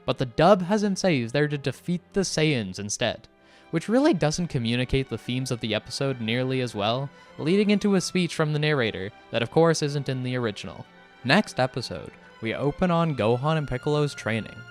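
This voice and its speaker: The wry male narrator of an online fan video essay, picking things apart at a medium pitch over a music bed. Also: expressive, brisk. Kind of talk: scripted narration. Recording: music behind the voice. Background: background music.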